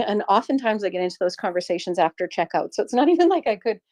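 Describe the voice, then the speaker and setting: A woman's voice: mid-range voice, sing-song, quick pace, a little mellow. A middle-aged Canadian woman who runs a small shop, telling a personal story in an earnest, reflective way with frequent laughs. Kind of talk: casual chat on a live audio space.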